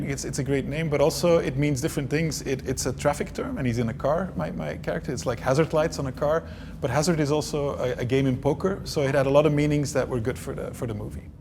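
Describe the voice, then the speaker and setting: A man's voice: a little high, creaky. A man from Belgium whose first language is Dutch, answering interview questions in a hesitant way with many restarts. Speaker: film director.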